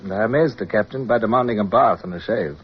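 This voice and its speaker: A resolute British naval officer played by a male actor, low in pitch and used to command. He speaks and narrates in radio adventure style, on a dull recording with some noise. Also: fast, close to an everyday voice. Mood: grave narration. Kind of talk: radio drama acting and first-person narration.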